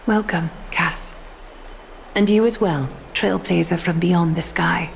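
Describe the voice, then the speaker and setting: A woman voicing a composed, regal fantasy leader in a video game, speaking in a formal, measured, stately way. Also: fairly low pitch, gravelly, a little hiss or hum, rough recording. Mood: stately, gracious. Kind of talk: scripted video game voice acting. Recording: music behind the voice.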